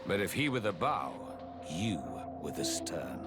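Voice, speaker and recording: A man's voice: deep voice, very animated, a little slow. An actor playing a stern but gracious king in a game, speaking in stately, archaic phrases. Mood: stern rebuke. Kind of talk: formal archaic fantasy drama acting. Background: orchestral music.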